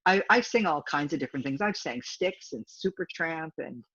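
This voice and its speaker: A deep-voiced woman in her fifties talks freely as an interview guest and often circles back and restarts her sentences. Her voice is husky and low. The recording is dry and slightly dull. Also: expressive, moderate pace, a bit bright, dry recording. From Canada. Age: 50s. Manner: rambling, talkative, frequent restarts. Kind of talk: casual interview chat.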